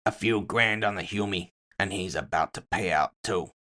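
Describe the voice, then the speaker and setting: Man as a casual goblin gambler, low-voiced. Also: moderate pace, bright, forceful tone. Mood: smug, casual. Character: cigar-smoking goblin gambler, smug, knowing male friend. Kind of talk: audio fiction dialogue.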